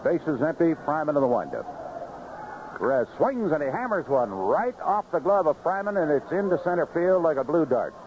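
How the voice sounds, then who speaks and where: A man's voice: fairly high pitch, big pitch swings, moderate pace, highs cut off, background noise. A man calling baseball play-by-play on 1970s radio in clipped phrases with vivid descriptive calls, on a band-limited old broadcast. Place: ballpark broadcast booth.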